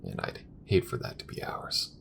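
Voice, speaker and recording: A man playing a weary, grudging but caring spaceship crewman in an audio roleplay, talking in a halting, conversational way. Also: a bit bright, whispered, close to an everyday voice. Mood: wary, concerned. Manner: halting, conversational, muttering at times. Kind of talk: intimate audio roleplay acting.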